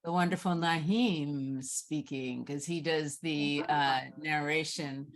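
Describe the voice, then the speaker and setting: A woman hosts a Q&A in a casual, conversational style. Her voice sits a little on the low side. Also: fairly even intonation, takes it slowly. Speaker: moderator. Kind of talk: casual Q&A hosting.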